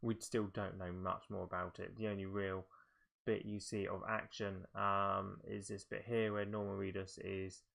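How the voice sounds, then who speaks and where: A man's voice: low-pitched, flat delivery, moderate pace, vocal fry, fairly bright tone. A man giving casual video game commentary, thinking aloud in a hesitant, rambling way. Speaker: video game show presenter.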